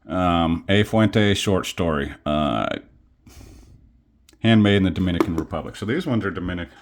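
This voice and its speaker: A man casually showing his collection on camera at home, rambling and self-deprecating with many pauses and fillers. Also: low-pitched. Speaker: coin collecting vlogger. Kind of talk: casual show-and-tell. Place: home studio.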